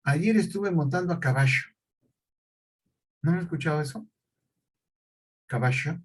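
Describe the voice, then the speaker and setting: A man's voice: a little high, unhurried, slightly rough. A middle-aged male teacher, lecturing a class and often checking in with questions.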